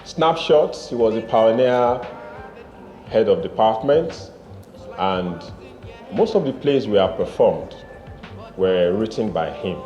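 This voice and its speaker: Echoey, halting man over clear background music. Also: on the low side, animated, mellow. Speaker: drama group leader. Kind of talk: spoken tribute to a late mentor. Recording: echoey room, dull sound, music over it.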